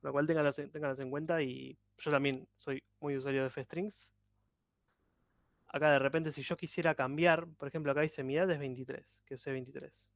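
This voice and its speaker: A man teaches an online coding workshop, taking things step by step and patiently. His voice sits a bit high for a man. The sound is dry and close, as on a video call. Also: steady tone, brisk, no echo. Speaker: programming instructor.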